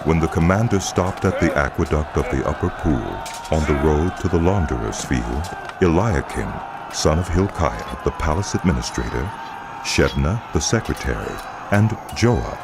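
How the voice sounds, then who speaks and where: Super deep guy narrating scripture, solemn but expressive, creaky low voice, outdoor noise in the back. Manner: solemn, measured storytelling. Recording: noisy outdoor ambience behind.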